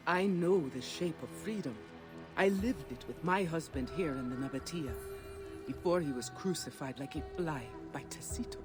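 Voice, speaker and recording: A woman's voice: low-pitched, normal speed, over loud music, natural voice. An actress playing a proud, defiant Nabataean woman warrior and liberator in a video game, with impassioned, declamatory delivery. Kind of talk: dramatic video game cutscene acting.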